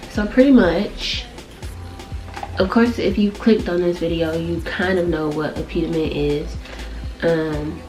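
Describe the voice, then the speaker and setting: A young woman with a low, nasal voice, stuffed up from allergies, chatting to camera in a loose, rambling way. Music plays clearly behind her. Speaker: vlogger. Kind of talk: casual chat to camera.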